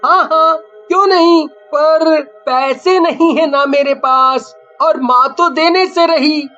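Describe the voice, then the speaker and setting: A woman plays an eager young village boy in a cartoon story, with a high, bright voice. He speaks slowly and innocently, and the audio is slightly band-limited with music behind. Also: dull audio, exaggerated voice. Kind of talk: Hindi cartoon story dialogue.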